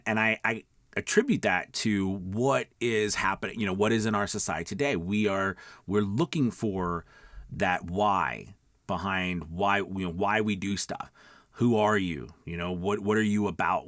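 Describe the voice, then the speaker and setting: Low-voiced man coaching informally, dry sound. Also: normal speed, a bit bright, no room sound. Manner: emphatic, conversational, repeats check-in words like okay and all right. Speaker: voice-over coach, video host. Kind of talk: informal instructional talk to viewers.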